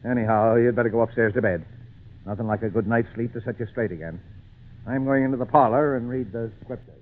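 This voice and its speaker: Man acting a brisk, stern farmer uncle. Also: mid-range voice, natural voice. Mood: concerned, firm. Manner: brisk, folksy, no-nonsense. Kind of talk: 1940s radio acting. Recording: noisy, tinny old radio broadcast.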